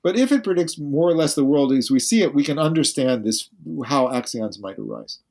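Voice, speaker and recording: A man's voice: mid-range voice, very animated, a little fast, a little mellow. A male physicist, a guest on a podcast interview, who explains carefully and thinks aloud as he speaks.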